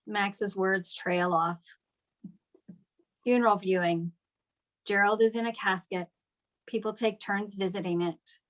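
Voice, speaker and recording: Female narrator for a script read, medium pitch, delivering scene directions. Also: fairly even intonation, measured pace, long pauses, fairly bright tone, rough recording. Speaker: stage direction reader at a table read.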